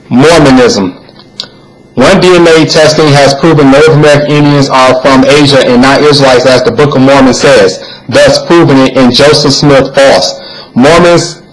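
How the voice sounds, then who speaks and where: A man's voice: medium pitch, poor audio. A man narrating a video, reading written text aloud in a plain, earnest way and sometimes stumbling and repeating words.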